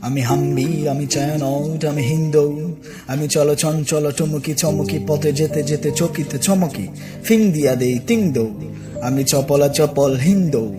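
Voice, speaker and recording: A man reciting poetry in a dramatic, declamatory manner, in emphatic short phrases broken by pauses. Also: on the high side. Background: music.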